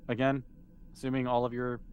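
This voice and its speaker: A man's voice: mid-range voice, monotone, a little fast, muffled recording. A man running a tabletop game as game master, narrating casually and improvising as he talks. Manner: conversational, improvising as they go.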